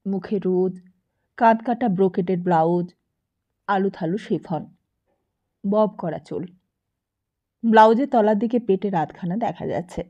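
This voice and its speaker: A woman reading literary fiction as an audiobook narrator, in an expressive scripted storytelling style. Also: medium pitch, a little slow, pauses often, muffled recording.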